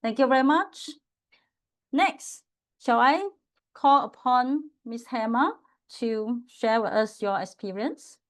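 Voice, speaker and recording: A woman moderating a club panel, introducing speakers in a measured way. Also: a little high.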